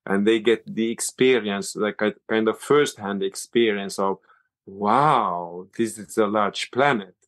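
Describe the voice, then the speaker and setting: A man with a Finland-Swedish accent and Swedish as his first language, a yoga and cold-water teacher talking as an interview guest, halting and restarting often. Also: lowish voice, no echo. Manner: halting, many restarts and fillers. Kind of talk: casual interview talk.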